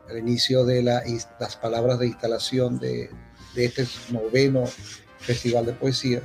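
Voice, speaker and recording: A Venezuelan-accented man giving an informal ceremonial address, haltingly, with pauses and repeated words. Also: mid-range voice, darkish tone. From Maracaibo, Venezuela. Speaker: poet speaking for a university. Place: theatre stage at a public event.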